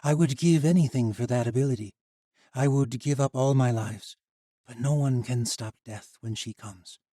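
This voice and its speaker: Sorrowful ancient girl, gentle and slow, voiced by a man. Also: medium pitch, no echo, studio quality, pitched above the performer's own voice, natural voice.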